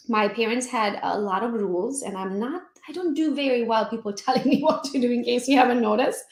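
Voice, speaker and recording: A woman from Transylvania with a Hungarian accent, telling her life story as an interview guest in a lively, laughing way. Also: a little high, roomy sound. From Transylvania, Romania. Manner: animated, laughs often, acts out quotes. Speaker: guest, real estate agent.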